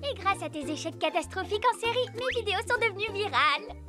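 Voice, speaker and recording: Sarcastic little girl cartoon woodpecker, piercingly high, lively swings, teasing, music loud behind. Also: fast talker. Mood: cheeky. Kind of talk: French cartoon dub acting.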